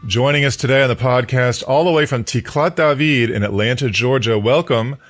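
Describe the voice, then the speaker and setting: A man's voice: mid-range voice, a little fast, fairly bright tone, soft background music. A man hosting a podcast, introducing his guest and asking interview questions. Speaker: podcast host.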